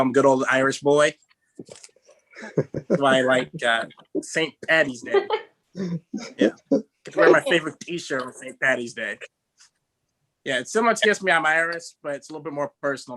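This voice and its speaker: A young man from Texas doing a stand-up set, halting and filled with "uh" and "um". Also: mid-range voice, pushed and bright, dry recording, poor audio. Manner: halting delivery with many fillers. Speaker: stand-up comedian.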